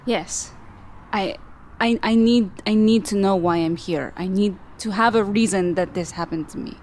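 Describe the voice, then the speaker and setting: A woman roleplaying an earnest, vulnerable amnesiac woman with a halting, pleading way of talking. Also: mid-range voice, darkish tone, slight background noise, natural voice. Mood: pleading, emotional.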